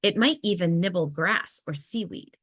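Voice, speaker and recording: A woman reading a children's nonfiction picture book aloud in a measured, expressive storytelling way. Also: lowish voice, dry recording, clean studio sound. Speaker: read-aloud narrator.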